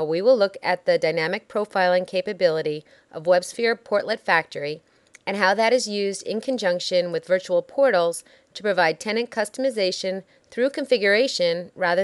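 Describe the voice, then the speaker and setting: A woman reading a scripted software demo narration in a measured, instructional way. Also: on the low side, no room sound.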